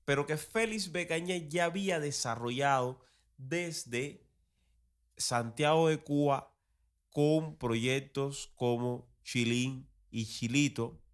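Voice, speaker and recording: A man with a Cuban accent hosting an explanatory history talk, phrasing things in a deliberate, didactic, emphatic way. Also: medium pitch. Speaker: podcast host.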